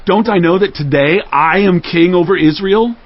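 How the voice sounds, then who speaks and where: A man's voice: high-pitched, moderate pace, dull audio. An actor playing a stern, commanding king in a dramatized audio Bible, with regal oratory. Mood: indignant.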